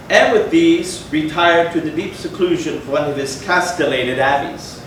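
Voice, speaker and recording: A man giving a dramatic stage reading of a gothic story with theatrical, measured phrasing. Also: a little high, a bit bright. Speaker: stage reader. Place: indoor theatre, far from the mic. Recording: echoey theatre from far off, faint noise.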